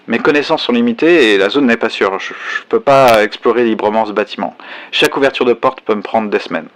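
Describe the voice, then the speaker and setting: A man's voice: medium pitch, fairly bright tone, natural voice. A man playing a solitary, bookish survivor who reflects on his past in a conversational, hesitant first-person science fiction audio drama monologue. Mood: wary.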